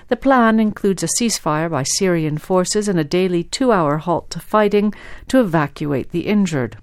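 A woman's voice: lowish voice, clean tone, no echo, studio quality. A woman presenting international radio news in a scripted reading style.

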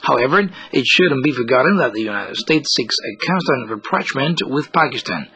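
Bright, forceful male news reporter. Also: mid-range voice, lively intonation, fairly quick. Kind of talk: scripted news commentary.

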